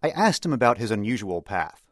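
A man's voice: on the low side, very animated, fairly quick, no echo, studio quality. An American man speaking as a radio interviewer. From United States. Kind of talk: interview questions and scripted introduction.

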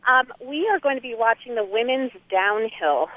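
A woman's voice: on the high side, animated, moderate pace. A woman calling in to a radio show, friendly and upbeat. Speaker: caller. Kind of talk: call-in comment on radio. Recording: tinny phone line.

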